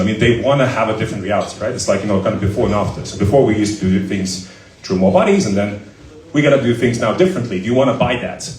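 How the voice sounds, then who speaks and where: A man with a fairly low voice tells founder stories at a live event, rambling and full of fillers. The sound is roomy and echoey, his voice picked up from a distance. He talks in a loose, anecdotal way. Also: a little mellow. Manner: talkative, many fillers, anecdotal. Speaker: startup founder and CEO as guest. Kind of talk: casual Q&A answers at a live event. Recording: echoey room, voice from far off.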